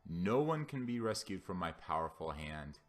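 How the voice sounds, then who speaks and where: Man voicing a wrathful, commanding God, deep-voiced. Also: very animated, normal speed, soft background music. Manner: commanding, declamatory. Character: wrathful, mighty God proclaiming vengeance.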